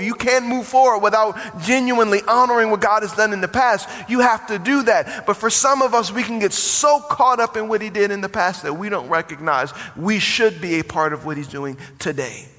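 A man's voice: high-pitched, bright, forceful tone. A man in his forties, a pastor preaching a sermon with rising emphasis and call-and-response. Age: 40s. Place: church sanctuary. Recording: long hall reverb.

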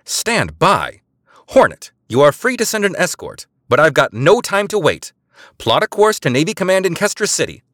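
A man narrator puts on a woman spy who bluffs over the comm with imperious authority. Her voice rides high and loud, swinging widely as she barks orders. The sound is dry and close. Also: very animated, moderate pace, no echo. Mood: commanding.

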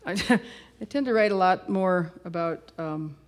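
A woman's voice: lowish voice, lively intonation, unhurried. A middle-aged woman singer-songwriter introducing a song in casual, rambling, reflective stage talk.

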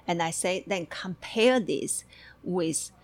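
A woman from Taiwan co-hosting a casual chat, talking in halting bursts with many restarts and fillers. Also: lowish voice. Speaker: co-host.

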